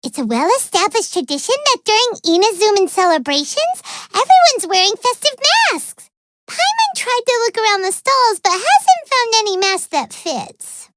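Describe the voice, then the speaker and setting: A woman's voice: extremely high pitch, sing-song, normal speed, fairly bright tone, a little hiss or hum, heavily acted. A woman voicing a tiny, childlike fairy companion in a video game, greedy, naive and dramatic, with an exclamatory, whiny manner. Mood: eager. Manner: speaks of self in third person, exclamatory, whiny. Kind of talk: video game character dialogue.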